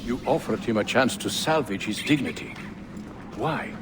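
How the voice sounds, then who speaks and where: A man's voice: mid-range voice, animated, moderate pace, background noise, natural voice. An actor playing a wise old assassin mentor in a video game, grave, proud and fatherly. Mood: grave, tender. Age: older. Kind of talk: video game cutscene acting. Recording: clear music behind the voice. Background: game score.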